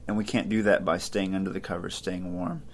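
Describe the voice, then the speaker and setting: A man hosts a philosophy podcast in a low, easygoing voice. He thinks out loud as he goes, with plenty of "uh"s and false starts, and keeps his tone conversational rather than preachy. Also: brisk, creaky. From Ohio, United States. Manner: relaxed, thinking aloud with frequent fillers and restarts. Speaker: podcast host. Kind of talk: casual podcast commentary on philosophy.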